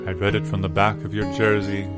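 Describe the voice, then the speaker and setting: Low-voiced deadpan man over guitar music. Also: fairly quick. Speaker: improv comedy performer. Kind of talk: wistful deadpan comic monologue over guitar. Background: acoustic or plucked guitar music.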